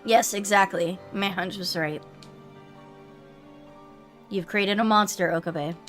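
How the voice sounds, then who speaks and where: A woman voicing a serious, critical young female scientist from a visual novel, in a measured, formal manner. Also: mid-range voice, fairly even intonation, fairly bright tone, faint music behind. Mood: grave, critical.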